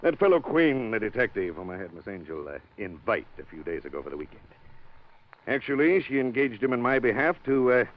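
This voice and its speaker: An actor playing a smug, scheming wealthy diamond owner in an old radio drama, with a sneering, commanding manner. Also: male voice, on the low side, very animated, brisk. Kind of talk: 1940s radio acting. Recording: dull old radio broadcast with faint hiss.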